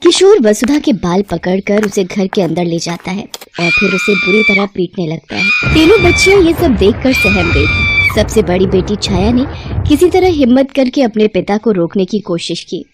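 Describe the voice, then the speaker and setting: A woman narrating a scripted moral story for an animated channel, clear and steady. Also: medium pitch, moderate pace, very noisy.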